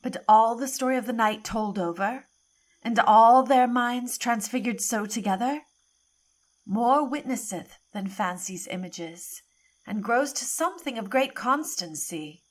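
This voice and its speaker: A woman playing a composed, thoughtful noble bride in a Shakespearean audio play, with measured delivery. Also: on the high side. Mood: thoughtful, wondering.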